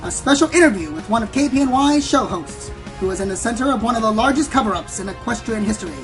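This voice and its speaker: A woman's voice: a little high, expressive, fast, natural voice. A woman playing a sensationalist pony news anchor in a radio play, theatrical and insistent. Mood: announcing urgently. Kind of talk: melodramatic newscast in an amateur radio play. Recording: music under the voice.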